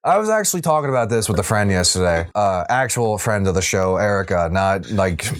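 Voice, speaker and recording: A man with a fairly low voice chats casually as a podcast co-host. He riffs comedically in loose slang, with plenty of profanity and sarcasm. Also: animated, fairly quick.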